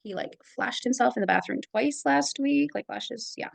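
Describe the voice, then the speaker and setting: A woman's voice: fairly high pitch, normal speed, vocal fry, no room sound. A woman who is a kindergarten teacher chatting casually on a video call, rambling with many fillers. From native English speaker. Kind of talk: casual mentor meeting chat.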